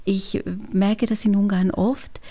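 A Hungarian-born woman, a German teacher, talking as a guest in a thoughtful, measured way with pauses and fillers. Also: medium pitch, level tone, dark tone. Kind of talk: radio conversation.

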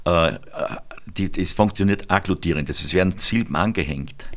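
A man's voice: deep voice, brisk. A man hosting a radio talk, chatty and explanatory, with frequent hesitations. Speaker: radio host. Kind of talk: radio conversation.

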